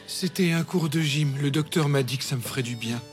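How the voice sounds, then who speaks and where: A man's voice: on the high side, brisk, natural voice. An actor playing a downcast middle-aged father in a children's cartoon, hesitant, sighing and easily flustered. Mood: sheepish, downcast. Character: sheepish, downcast middle-aged dad who lost his job. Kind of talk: animated children's comedy dialogue. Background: background music.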